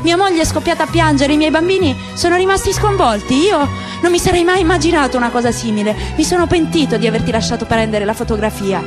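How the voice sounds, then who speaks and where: An earnest, gentle middle-aged father who has lost much, as a woman reads him aloud. He sounds soft, measured and high-pitched, with music playing clearly behind the voice. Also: lightly acted. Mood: pleading. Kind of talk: radio drama reading.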